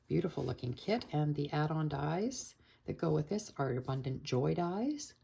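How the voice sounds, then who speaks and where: Croaky low-voiced woman with a cold. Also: darkish tone. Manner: chatty, explains steps patiently with fillers. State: hoarse from a cold. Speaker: card-making tutorial host. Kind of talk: casual crafting tutorial.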